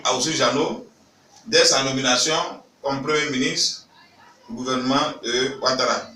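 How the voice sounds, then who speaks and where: Man from ivory coast, high-pitched, very bright tone, emphatic press statement, echoey room off-mic. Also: far from the mic, slight background noise. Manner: emphatic, rising to shouts when stressing points. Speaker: civil society speaker at a press conference.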